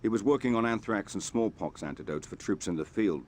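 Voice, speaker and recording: An actor playing a cool, wry British secret agent in a spy game, clipped and understated. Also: male voice, on the low side, expressive, fairly quick, a little mellow. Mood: grave. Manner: understated, dry, businesslike. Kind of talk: cinematic spy game acting.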